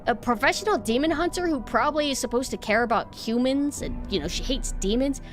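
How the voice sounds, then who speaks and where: A woman's voice: high voice, expressive, a little fast, soft background music. A young woman with a nasal voice chatting casually on a stream. She rambles, swears freely and is sarcastic.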